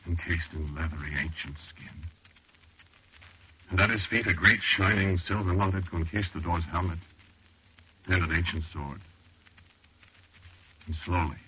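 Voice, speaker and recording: An actor playing a haunted, undying man who narrates his own horror tale in measured, intimate 1940s radio style. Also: deep voice, pushed and bright, slight background noise, exaggerated voice. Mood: hushed dread. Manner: measured, intimate storytelling with pauses. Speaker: narrator and star. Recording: dull vintage radio recording, music behind.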